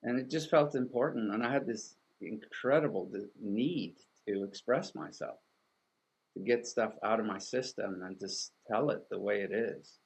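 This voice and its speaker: A middle-aged man from Sweden, whose first language is Swedish, speaking as an artist and author in an interview, thoughtful and halting with many restarts. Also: lowish voice, breathy edge, poor audio. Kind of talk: reflective interview conversation.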